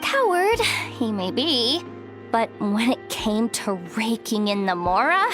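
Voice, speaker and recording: A young actress playing a guarded, pragmatic young woman with a soft heart and a wry, casual way of talking in a video game. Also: fairly high pitch, sing-song, slow. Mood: wistful. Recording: studio voice over game music. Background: background music.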